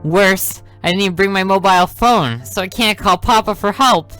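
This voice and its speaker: A man's voice: high-pitched, moderate pace, bright, forceful tone, clean tone, soft background music, pitched above the performer's own voice. A man reading the thoughts and lines of a bored teenage girl who sneaks out at night. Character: restless, sneaky teenage girl, detective's daughter.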